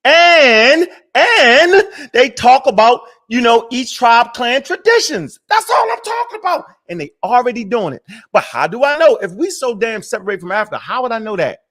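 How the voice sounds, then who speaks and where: A man's voice: extremely high pitch, sing-song, moderate pace, few pauses, fairly bright tone. A man hosting a casual talk show. He rants hard, swears a lot and trips over many restarts as he goes. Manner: fiery, profane, rambling with many restarts. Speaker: show host.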